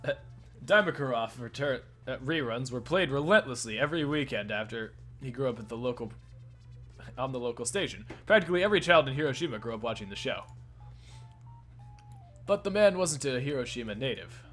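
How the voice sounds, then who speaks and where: A man's voice: medium pitch, lively intonation, a little fast, fairly bright tone, faint music behind. A man narrating a story aloud in an informal cold read, stumbling over words and correcting himself. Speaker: narrator in a fan reading of a visual novel.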